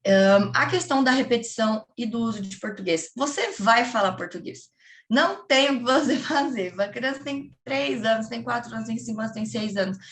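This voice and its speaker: Chatty woman teacher, lively and quick. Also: a little high. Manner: chatty, lively, quotes children and classroom chants. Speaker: English teacher leading a teacher training session. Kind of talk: casual explanatory talk to colleagues.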